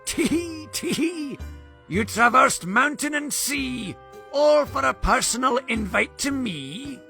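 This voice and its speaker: A high, creaky old rhyming trickster. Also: male voice, lively intonation, takes it slowly, pushed and bright, soft background music, full character voice. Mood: amused. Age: older. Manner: sing-song rhyming, theatrical. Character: eccentric old creature speaking in rhyme, sly.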